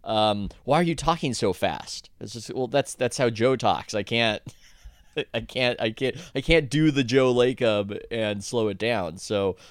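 A man's voice: medium pitch, unhurried, no echo, studio quality. A man, an author guest on a podcast, talking casually in a rambling way with many restarts and self-corrections.

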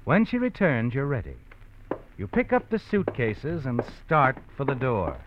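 An actor playing a knowing, sardonic narrator who taunts the protagonist in old-time radio suspense style. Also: male voice, mid-range voice, huge pitch swings, unhurried, background noise. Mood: knowing, taunting. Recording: muffled old radio recording with music.

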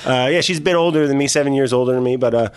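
A man's voice: mid-range voice, racing, clean tone. Laid-back New man, chatty guest. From northern New Jersey. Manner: laid-back, rambling, frequent fillers and laughs. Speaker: cooking video creator, guest. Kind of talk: casual interview chat.

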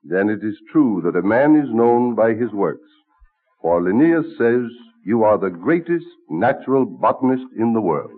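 Courtly colonial statesman, played by a man, genial and witty, lively intonation, muffled old radio sound. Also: fairly low pitch, moderate pace, close to an everyday voice. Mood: earnest. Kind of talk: vintage radio drama acting. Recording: muffled, narrow-band old radio recording.

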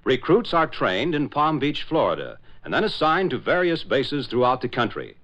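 A man's voice: mid-range voice, very animated, normal speed, fairly bright tone. A man narrating as a 1940s radio announcer in a dramatic, declamatory style, on a dull old recording.

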